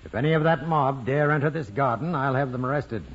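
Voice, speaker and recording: An actor playing a gruff, scornful parish priest in an old radio drama, brusque and imperious. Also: male voice, mid-range voice, brisk. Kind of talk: 1940s-50s radio drama acting. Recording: dull, hissy old radio broadcast.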